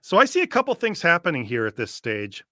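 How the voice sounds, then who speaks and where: A man's voice: fairly high pitch, wildly swooping pitch, a little fast, bright, forceful tone, no echo, studio quality. A man co-hosting a show with casual, opinionated reaction commentary in a conversational manner. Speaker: show co-host.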